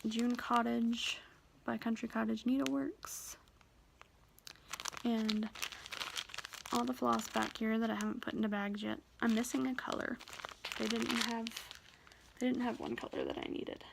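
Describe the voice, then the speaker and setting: A young woman with a soft, quiet voice, chatting shyly and a bit nervously about her stitching projects in a casual vlog. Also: a little high, steady tone, unhurried, vocal fry, long pauses, noisy background. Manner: shy, rambling, nervous. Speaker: cross-stitch vlogger. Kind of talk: casual show-and-tell vlog.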